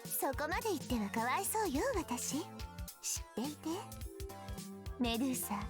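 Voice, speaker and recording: High sing-songy goddess sisters, mocking, fast and bouncy, game music under it. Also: female voice, very animated. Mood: teasing. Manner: sing-song, teasing. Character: two teasing goddess sisters, haughty and mocking. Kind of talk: visual novel voice acting.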